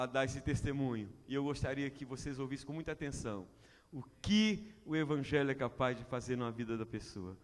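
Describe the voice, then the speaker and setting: A man pastor preaching a sermon to his congregation, building to loud emphatic peaks. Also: fairly high pitch. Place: church hall. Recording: long church reverb.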